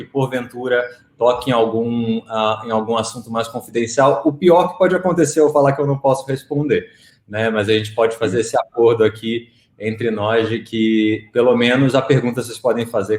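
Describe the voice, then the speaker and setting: A man in his thirties from Rio de Janeiro with a faint Rio accent, answering interview questions in a relaxed, chatty way. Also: mid-range voice, roomy sound. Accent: faint Rio de Janeiro Portuguese. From Rio de Janeiro, Brazil. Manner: relaxed, chatty, frequent "né" fillers. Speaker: guest, marketing executive.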